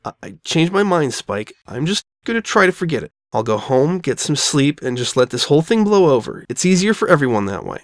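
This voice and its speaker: An anxious young mare played by a man talks earnestly and hesitantly. The delivery is lively in pitch and studious in temper. It sounds like a cartoon-pony drama in a dry, close space. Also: fairly quick, hardly any pauses, no room sound. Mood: guarded, firm. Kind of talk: dramatic fan fiction reading.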